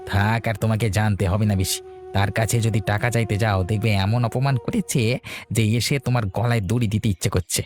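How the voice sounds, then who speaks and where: A man's voice: fairly low pitch, fairly even intonation, fast talker, natural voice. A man playing a rude, spiteful village man in a fairy tale cartoon, sneering and hectoring as he talks. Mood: scornful, threatening.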